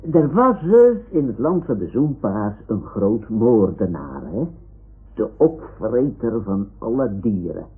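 Boastful kiddish toy sea lion, slow talker, swings up and down, muffled old radio drama. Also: male voice, on the low side, slightly rough, heavily acted. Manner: childlike, halting, self-important storytelling. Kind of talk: 1960s-70s Dutch radio play acting.

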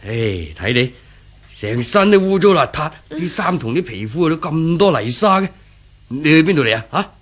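An actor playing a kindly, fretful ageing shopkeeper father in a 1970s Cantonese radio drama, stammering and fussy, with a husky voice and a Hong Kong accent. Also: fairly high pitch, animated, normal speed. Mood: anxious, fussing.

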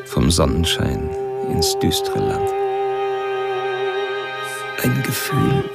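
An actor playing a brooding, philosophical serial killer in a measured, literary inner monologue for a radio play. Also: male voice, mid-range voice, huge pitch swings, creaky, exaggerated voice. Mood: dark, reverent. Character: brooding philosophical male serial killer, coldly self-absorbed. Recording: loud music bed behind the voice.

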